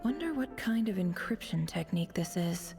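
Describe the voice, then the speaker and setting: A woman voicing a cool, confident businesswoman investigator in a video game, composed, deliberate and breathy at times. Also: fairly low pitch, very animated, music in the background. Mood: intrigued, musing.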